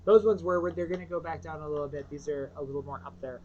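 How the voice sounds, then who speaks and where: A woman's voice: deep voice, steady tone, fast. A woman co-hosting a casual comic shop livestream, chatty and enthusiastic, showing off horror comics. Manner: chatty, enthusiastic, mimics people in quotes. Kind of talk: casual chat. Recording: dull lo-fi livestream audio, faint noise.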